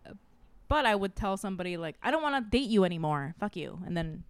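A woman's voice: medium pitch, lively intonation, fast, clear, resonant tone, no room sound. Chatty woman in her thirties, dry sound. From Korea and Saipan. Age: 30s. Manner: rambling, many fillers and restarts. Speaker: guest comedian. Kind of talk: casual podcast chat.

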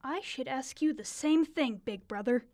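A woman's voice: high-pitched, moderate pace, a little mellow. A woman playing a pert, teasing young girl, a little sister in a comic radio play.

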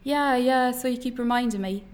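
A woman's voice: on the high side, moderate pace, voice pushed up, natural voice. A woman voices a young Irish lad in a novel, a farm labourer. He is curt and defensive, brushing people off in plain words. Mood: irritated, defensive. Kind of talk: novel reading aloud.

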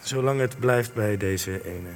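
A man plays a polite, persistent documentary interviewer in a radio drama. His voice is fairly low and carefully formal, with long reverb around it and faint music underneath. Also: unhurried, close to an everyday voice. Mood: uneasy, guarded.

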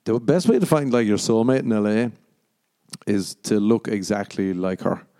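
A young Irish man hosting a podcast, rambling and swearing as he jokes, jumping into high squeaky voices for the punchlines. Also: moderate pace, dark tone. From Ireland. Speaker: podcast host. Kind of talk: casual comedic monologue.

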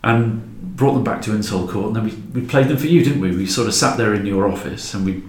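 A man's voice: fairly low pitch, fast talker. A man telling anecdotes informally as a guest in a casual podcast chat. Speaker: podcast guest, show creator. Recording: roomy, echoey sound.

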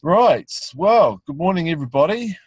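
A man's voice: a little high, very animated, normal speed, breathy edge, no echo. A man, a field trip teacher hosting a web conference from inside a vehicle, talking in a loose, friendly way with fillers and restarts. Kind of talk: casual hosted web conference.

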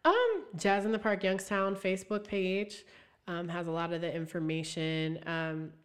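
A young woman with a low-pitched voice talks in a loose, animated way, peppering her sentences with fillers. She is a singer from Ohio chatting as an interview guest. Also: a little slow. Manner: animated, many fillers like "like" and "um", laughs easily. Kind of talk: casual interview chat.

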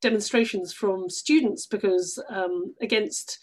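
A woman's voice: medium pitch, a little slow. A woman from London, speaking as an interview guest and explaining things conversationally. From London, England. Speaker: interview guest, stage actress. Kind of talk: casual explanatory interview talk.

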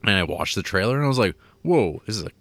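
A man's voice: fairly low pitch, very animated, fast talker, a bit bright, no echo. A man co-hosting a podcast, reviewing a film in a rambling, casual way with lots of fillers and wry asides. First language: English.

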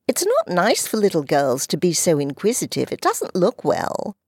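A woman's voice: fairly low pitch, huge pitch swings, normal speed, breathy edge, no echo, clean studio sound, full character voice. Woman doing a pompous, fussy schoolboy. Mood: sententious. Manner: sententious, stiff and fussy. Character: pompous older man trapped as a schoolboy. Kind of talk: audiobook character voice.

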